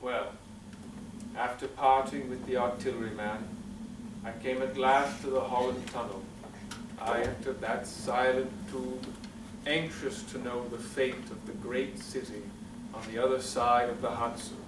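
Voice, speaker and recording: Heard from a distance over clear noise in an echoing space, a man plays a solemn survivor in an old-time radio play. His mid-range voice is grave and measured, with a theatrical weight. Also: mid-range voice, little pitch movement, close to an everyday voice. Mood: grave, haunted. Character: solemn male survivor narrating an alien invasion. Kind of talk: old-time radio drama narration and dialogue. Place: stage performance in a roomy theatre. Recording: echoey, noisy stage captured from afar.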